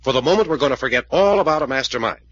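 Male lead for vintage radio mystery: driven investigator, insistent, rough-edged bright voice, dull old broadcast sound. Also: medium pitch, expressive, very fast. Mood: urgent, puzzling. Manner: urgent, insistent, commanding questioner. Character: intense, driven male investigator puzzling out a case. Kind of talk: 1940s radio detective drama acting.

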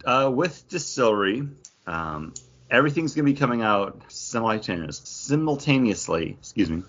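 A man's voice: mid-range voice, big pitch swings, normal speed, a bit bright. A man chatting casually about comics as a podcast co-host. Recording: dull lo-fi podcast audio.

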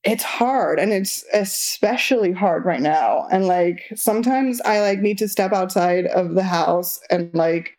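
A young woman from Argentina, with Spanish as her first language, speaks openly in a casual interview. She pads her sentences with "like" and her voice jumps higher when she gets exasperated. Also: a little slow, hardly any pauses. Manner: candid, many "like" fillers, lively rises when exasperated. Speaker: interview guest.

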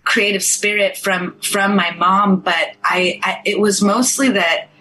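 A woman's voice: mid-range voice, little pitch movement, unhurried, pushed and bright, poor audio. A young American woman, an actor whose first language was Farsi, chatting casually and rambling in an interview. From United States. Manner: chatty, rambling, says "like" a lot. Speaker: actor, guest.

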